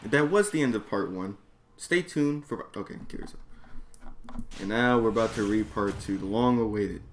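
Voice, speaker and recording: A man's voice: fairly low pitch, normal speed. A man reading his own comedic story aloud in a slangy, profane, exasperated way. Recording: noisy background, mouse or mic clicks.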